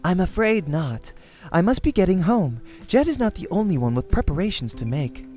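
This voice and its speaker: A man acts out a calculating young woman gamer in a visual novel. The lines are formal, reserved and measured. Music plays softly behind the polite, aloof delivery. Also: high-pitched, big pitch swings, pitched above the performer's own voice. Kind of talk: amateur visual novel character acting.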